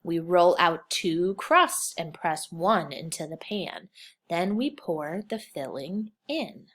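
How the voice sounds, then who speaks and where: A woman's voice: fairly low pitch, takes it slowly. Woman reading a picture book aloud to kids. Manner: expressive, simple teacherly phrasing with prompts to young children. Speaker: kindergarten teacher reading aloud. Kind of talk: children's picture book read-aloud with questions to listeners.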